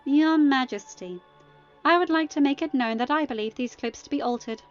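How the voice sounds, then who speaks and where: A woman's voice: high voice, normal speed, muffled recording, voice pushed up, lightly acted. A woman voicing a haughty, scheming older queen in an indignant, faux-sweet manner for a fan-fiction story reading. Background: music.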